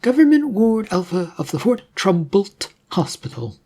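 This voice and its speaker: A storyteller voicing a brisk, attentive female hospital nurse in a story, matter-of-fact and reassuring. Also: mid-range voice, big pitch swings, dark tone, voice pushed up.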